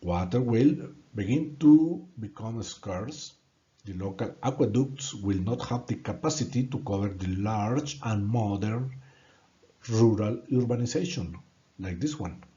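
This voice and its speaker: A man from Colombia with a Colombian Spanish accent, a native Spanish speaker giving an earnest, halting presentation in English and repeating words when stuck. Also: on the low side, expressive, warm tone, highs cut off. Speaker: student presenting a course project. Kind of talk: prepared presentation narration.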